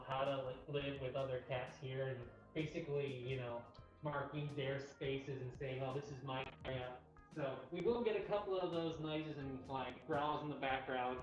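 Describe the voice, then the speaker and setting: A livestream co-host at a cat cafe, explaining things in a casual, informal way. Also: medium pitch. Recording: dull, distant, echoey room audio. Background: clearly audible music.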